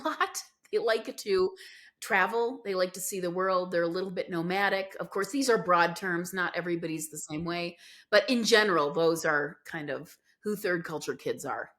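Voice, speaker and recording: A middle-aged American woman with a somewhat low voice tells her life story on a podcast. She is earnest and reflective, trailing into fillers as she thinks. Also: a bit bright. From United States. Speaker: podcast guest. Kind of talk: candid conversational storytelling.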